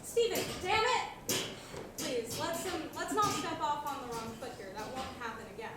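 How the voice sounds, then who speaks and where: A young woman plays a little boy leader with a high voice, diplomatic and formal beyond his years. It is stage comedy, caught by a distant mic with loud noise. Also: very animated, normal speed. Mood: placating, polite. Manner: persuasive, polite, mock-grown-up formality. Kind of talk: student stage comedy acting. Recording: very echoey hall, far mic, loud noise.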